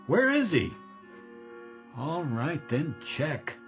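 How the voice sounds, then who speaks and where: Flustered, harried junior executive. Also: male voice, fairly high pitch, sing-song, extremely slow, gravelly, over loud music, heavily acted. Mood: exasperated. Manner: harried, stammering, placating. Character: flustered, deferential male executive under pressure from boss. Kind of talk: radio drama acting.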